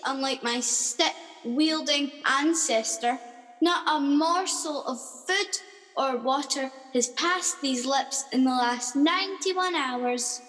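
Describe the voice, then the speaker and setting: A woman playing a very high-voiced, defiant suffragette prisoner. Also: sharp tone, exaggerated voice. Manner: declamatory, proud. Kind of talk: comic radio play acting. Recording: very reverberant, hall-like sound.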